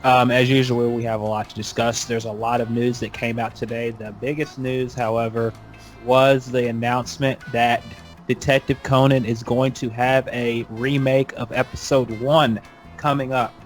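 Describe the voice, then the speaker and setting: A man's voice: medium pitch, steady tone, a little slow, soft background music. A man hosting a podcast in casual roundtable talk. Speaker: podcast host.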